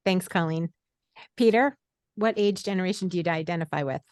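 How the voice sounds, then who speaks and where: A woman in her late fifties moderates a webinar panel in a conversational way. Her voice is clear and smooth, slightly on the low side, with a dry, close sound. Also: brisk, a little mellow, no room sound.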